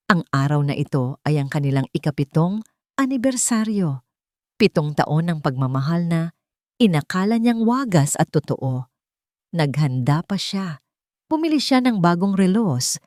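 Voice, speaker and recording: A woman with a Filipino accent narrating a scripted love story in a measured, sentimental way. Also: lowish voice, darkish tone, no room sound, studio quality. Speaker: narrator of audio love stories.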